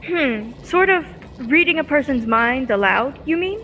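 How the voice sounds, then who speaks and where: A woman's voice: high-pitched, animated, measured pace, fairly bright tone, exaggerated voice. A young woman playing a curious, admiring assistant to a scientist in an old-time radio serial. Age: teenager or young adult. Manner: inquisitive, eager. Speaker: student actor. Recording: faint background noise.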